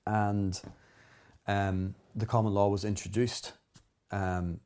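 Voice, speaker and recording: A man's voice: on the low side, little pitch movement, slow pace, a little mellow, clear, resonant tone. A man with a Northern Irish accent, speaking as an interview guest in a rambling, earnest way with many fillers. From Northern Ireland. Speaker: guest herbalist. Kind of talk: casual conversation.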